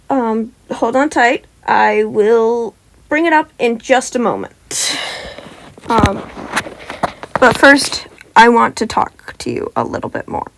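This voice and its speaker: A woman's voice: a little high, a little hiss or hum. A woman who hosts a fan-fiction audio channel, reading stories and talking to listeners. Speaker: podfic reader and channel host. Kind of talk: scripted story narration and casual asides to listeners.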